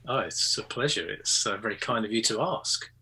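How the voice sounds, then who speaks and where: An English man in his sixties talks with a bright, keen edge and a slightly husky grain. He chats in an easy, hesitant way, pausing on ums and restarting his phrases, heard over a video call. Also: on the low side, lively intonation, rough recording. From England. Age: 60s. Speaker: guest photographer. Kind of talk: casual interview chat.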